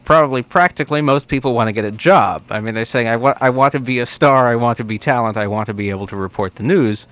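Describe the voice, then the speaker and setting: Man, radio interviewer, medium pitch, conversational call-in hosting. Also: fast talker, hardly any pauses, fairly bright tone, no echo. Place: radio studio.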